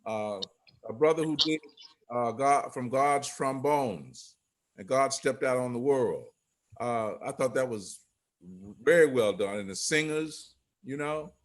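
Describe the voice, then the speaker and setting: Casual man, mid-pitched, fragmented phrasing. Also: animated, unhurried. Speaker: guest giving feedback. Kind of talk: casual spoken feedback. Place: video call.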